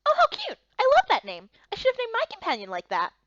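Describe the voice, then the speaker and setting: A woman's voice: very high voice, huge pitch swings, fast talker, fairly bright tone, no room sound, dull audio, pitched above the performer's own voice, exaggerated voice. A woman voicing a bubbly, chatty, kindly female fairy in a visual novel game. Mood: chatty, friendly. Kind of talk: voiced playthrough of fantasy visual novel.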